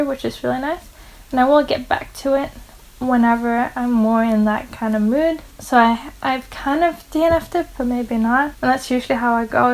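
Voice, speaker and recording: A woman's voice: sits high, darkish tone, poor audio. A Norwegian woman of twenty-one, whose first language is Norwegian, chatting earnestly to camera in English about her reading habits. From Norway. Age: 20s. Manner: chatty, earnest, lists personal facts. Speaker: book vlogger. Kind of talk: casual chat to camera.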